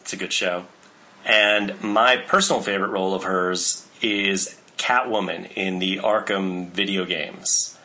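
A man's voice: deep voice, steady tone, unhurried, bright, forceful tone, low-quality recording. A man co-hosting a podcast in casual chat, informative and enthusiastic, with many fillers. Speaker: podcast co-host presenting cast info.

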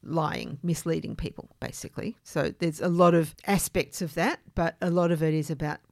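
A woman hosting a podcast, explaining things in a measured way with informal asides. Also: deep voice, steady tone, a little mellow, no room sound, studio quality. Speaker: podcast host.

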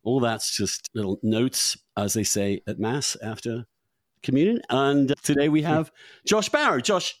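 A middle-aged man hosting a podcast in a chatty, unscripted way, halting and repeating words as he goes. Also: mid-range voice, no echo. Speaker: podcast host.

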